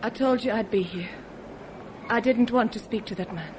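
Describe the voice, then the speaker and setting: An actress plays a devoted, wistful woman in a 1970s radio play. She speaks earnestly and intimately in a fairly high voice. A little noise runs behind her on the old recording. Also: normal speed, natural voice. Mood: troubled, resigned. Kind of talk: 1970s radio drama acting.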